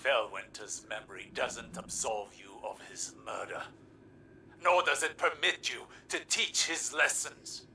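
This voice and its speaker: An actor playing a brash, impatient warrior-scholar in a video game, speaking in an intense, commanding, accusatory way. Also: male voice, medium pitch, a little slow, sharp tone, far from the mic. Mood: bitter, cutting. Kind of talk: scripted video game dialogue.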